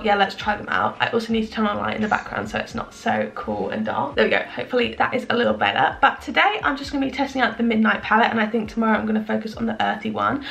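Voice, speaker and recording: Croaky chatty young woman. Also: fairly high pitch, fairly bright tone. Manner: chatty, self-deprecating, rambling. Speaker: beauty vlogger. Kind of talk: casual makeup review chat. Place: indoors at home in the evening.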